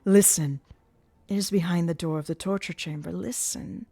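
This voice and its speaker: A woman's voice: lowish voice, fairly even intonation, dark tone, breathy edge. A woman doing a gloating masked male ventriloquist. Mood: taunting. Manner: slow, taunting, swooping and gloating. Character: menacing masked male ventriloquist, mocking and theatrical.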